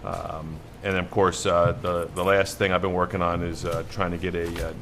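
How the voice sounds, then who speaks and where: A man with an American accent and a deep voice gives an informal report at a board meeting. He speaks in halting, rambling phrases, with faint noise in the room. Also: level tone, a bit bright. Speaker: town board member. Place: indoor town board meeting.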